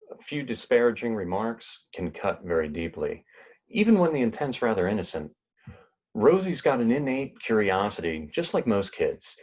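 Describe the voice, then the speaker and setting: A man's voice: fairly low pitch, a little mellow, slightly rough, highs cut off. A man giving earnest, encouraging reflective commentary as a presenter.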